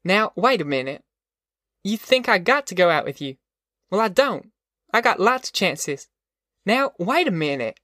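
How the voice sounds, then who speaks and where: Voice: medium pitch, big pitch swings, normal speed, hardly any pauses, fairly bright tone, dry recording, clean studio sound, pitched above the performer's own voice. A reader voicing a cocky, evasive young country man with an offhand rustic drawl in an audiobook story. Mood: defiant.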